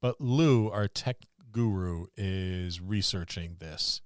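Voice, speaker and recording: A man's voice: deep voice, takes it slowly, clean tone, dry recording, clean studio sound. A man co-hosting a casual podcast about tabletop gaming, talking in a rambling, enthusiastic way. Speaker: podcast co-host.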